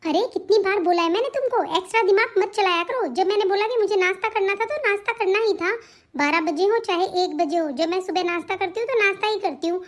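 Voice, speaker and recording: Cartoon role: bossy young daughter-in-law acted by a woman, extremely high voice, scornful, scolding. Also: fast talker. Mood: scornful, angry. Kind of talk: Hindi family cartoon drama dialogue.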